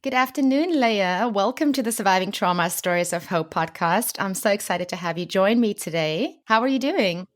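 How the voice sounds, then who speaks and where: A woman's voice: medium pitch, animated, normal speed, hardly any pauses. A woman hosting a podcast, reading a guest introduction and then interviewing her guest in an encouraging way. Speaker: podcast host. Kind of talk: casual interview.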